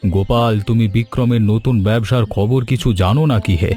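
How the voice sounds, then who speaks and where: Man acting as a plaintive old village farmer, mellow voice. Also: medium pitch, brisk, soft background music, lightly acted. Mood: earnest, planning. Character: distressed old male village banana farmer, lamenting but resolute. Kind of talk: Bengali animated story dialogue.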